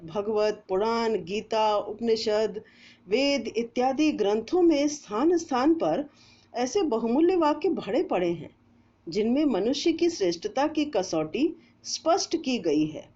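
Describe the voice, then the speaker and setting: A woman storyteller reading a moral essay aloud in a measured, deliberate way, with pauses between phrases. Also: fairly high pitch, expressive, dark tone, dull audio. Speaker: storyteller narrator.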